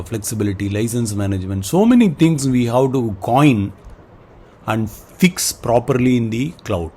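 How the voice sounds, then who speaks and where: A man giving an explanatory presentation, speaking in halting phrases and listing items one by one. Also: mid-range voice, a little mellow. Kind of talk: explanatory slide presentation, semi-improvised.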